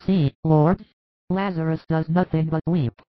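A man voicing a compassionate woman who speaks with soft concern for her sick brother. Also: on the high side, lively intonation, a little slow, no room sound, muffled recording, voice pushed up.

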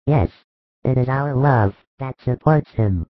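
A man voicing a calm, kind holy teacher with authority, solemn and reassuring, in a dramatized religious story. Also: medium pitch, dry recording, natural voice. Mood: comforting. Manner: solemn, reassuring, measured phrasing. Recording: dull lo-fi recording.

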